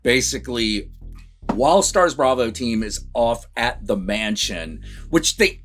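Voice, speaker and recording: A man co-hosting a casual film review, chatting in an informal, animated, sweary way. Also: mid-range voice, measured pace, soft background music. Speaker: movie review co-host.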